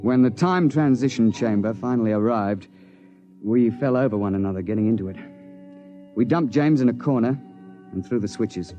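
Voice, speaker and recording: A gruff, seasoned British safari guide, played by a male radio actor, with a mid-pitched man's voice. He tells his adventure in clipped, old-school phrases, in vintage 1950s radio style with music in the broadcast. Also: moderate pace, dark tone, highs cut off, close to an everyday voice. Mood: dry, firm. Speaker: narrator and lead character. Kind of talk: 1950s radio drama acting, narrating an adventure.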